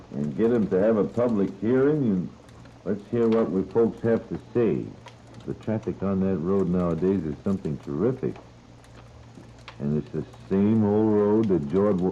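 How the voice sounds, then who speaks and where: Slow-talking folksy 1930s American radio man. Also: deep voice, warm tone, a little hiss or hum. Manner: unhurried, folksy, chatty. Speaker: morning radio announcer. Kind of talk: 1930s live radio announcing, informal and folksy. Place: radio studio. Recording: muffled, band-limited 1930s radio disc.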